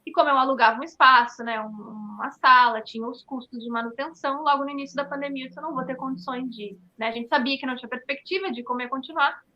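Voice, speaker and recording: A woman's voice: sits high, fast, few pauses, bright, forceful tone, muffled recording. A woman with a southern Brazilian accent from Rio Grande do Sul, answering in a conversational interview in an earnest, explanatory way, peppered with tag questions. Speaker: dance artist and studio owner, interview guest.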